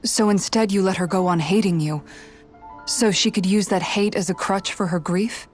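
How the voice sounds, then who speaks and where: A woman's voice: mid-range voice, moderate pace, soft background music, lightly acted. An actress playing a loyal woman warrior in a video game, frank and heartfelt in the way she talks. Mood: tender, frank. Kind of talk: dramatic video game voice acting.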